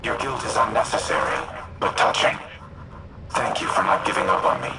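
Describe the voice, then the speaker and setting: A man playing a stately, grave artificial war intelligence in a video game, speaking in formal, measured, solemn phrases. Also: on the low side, very noisy, natural voice. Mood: calm, gentle. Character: male war machine intelligence, stately, grave, calm protector. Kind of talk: dramatic video game cutscene acting. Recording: loud music behind the voice. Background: loud music.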